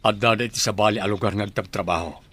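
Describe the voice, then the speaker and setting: Devoted middle-aged Filipino husband. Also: male voice, on the low side, fairly even intonation, racing, fairly bright tone. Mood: reassuring. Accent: Filipino, Ilocano. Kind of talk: radio drama acting.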